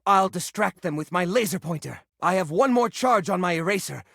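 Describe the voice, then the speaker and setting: A woman voicing an eager, bookish, nervous but brave healer in a video game, speaking in an earnest, excitable way. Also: fairly low pitch, brisk, creaky, no room sound, studio quality. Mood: excited. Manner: earnest, excitable, wholesome exclamations. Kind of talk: video game character acting, tabletop role-play.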